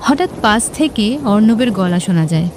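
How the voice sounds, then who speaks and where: A woman's voice: medium pitch, expressive, brisk, darkish tone, a little hiss or hum. A woman narrator reading a scripted romantic story. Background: music.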